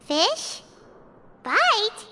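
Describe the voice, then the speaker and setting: A woman voicing a small, excitable, blunt fairy-like girl companion in a video game, squeaky and childlike, given to exclamations. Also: drawn-out words, slight background noise, full character voice. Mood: confused. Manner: speaks of self in third person, exclamatory. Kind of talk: video game character acting. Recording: music behind the voice. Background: game music.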